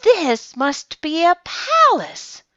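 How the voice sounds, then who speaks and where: A woman's voice: very high voice, wildly swooping pitch, extremely slow, a bit bright, dry recording, lo-fi sound, pitched above the performer's own voice, exaggerated voice. A woman voicing a small, innocent toy bear in a children's storybook, speaking with earnest wonder. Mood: wide-eyed wonder.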